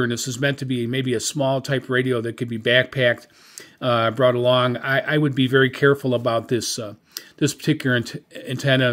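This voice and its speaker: A man's voice: medium pitch, a bit bright. Conversational middle-aged man, hesitant and rambling. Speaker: radio hobbyist reviewer. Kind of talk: casual product review. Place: indoor room with radio equipment.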